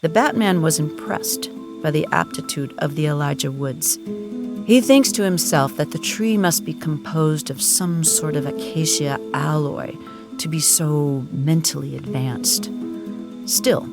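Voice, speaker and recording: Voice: medium pitch, unhurried, vocal fry. A narrator giving formal, wordy, mock-serious dramatic narration for an improvised audio comedy. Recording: prominent music behind voice. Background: music.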